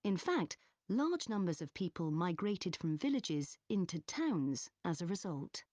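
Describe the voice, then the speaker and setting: A woman playing a history student giving a scripted academic presentation in a measured, explanatory manner. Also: lowish voice, sing-song, a little mellow, no echo. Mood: explanatory, composed. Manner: measured, clearly structured explaining. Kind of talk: scripted academic presentation in an exam listening recording.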